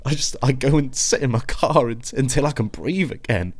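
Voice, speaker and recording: An actor playing a weary, frightened young father and husband in a naturalistic audio drama, halting and understated. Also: mid-range voice, animated, natural voice. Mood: vulnerable, halting.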